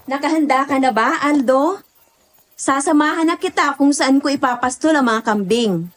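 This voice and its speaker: A woman's voice: high voice, normal speed, close to an everyday voice. A woman playing a feisty, chatty country goat herder in an audio horror drama, speaking expressively. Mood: friendly.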